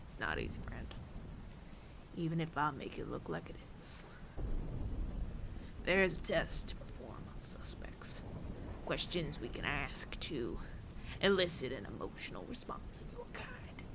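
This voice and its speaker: A man playing a menacing, world-weary bounty hunter of androids in an ASMR audio roleplay, taunting the listener with deliberate pauses and often sinking to a near whisper. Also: fairly high pitch, huge pitch swings, creaky, exaggerated voice. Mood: musing, sardonic. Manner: slow, deliberate, taunting, with long dramatic pauses.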